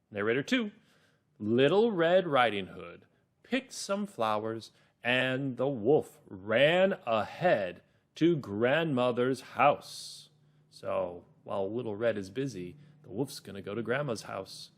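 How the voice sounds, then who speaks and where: A man hosting a children's reading lesson as a teacher, explaining and narrating encouragingly, with drawn-out emphasis. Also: medium pitch, very animated. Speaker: teacher and host.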